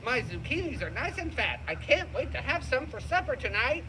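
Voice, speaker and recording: A moody girl skunk, acted by a woman in a puppet show for kids. The voice is high and drawn out, with very expressive swings, over faint noise. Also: normal speed. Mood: contented. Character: moody female skunk, glum and easily exasperated neighbor. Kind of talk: children's puppet show storytelling.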